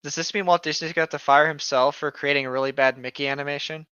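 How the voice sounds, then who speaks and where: A man co-hosting casual comedic read-alouds of internet horror stories, sarcastic, irreverent and quick to swear. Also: fairly high pitch, fairly even intonation, bright, forceful tone, clean tone, no room sound, muffled recording. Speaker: co-host.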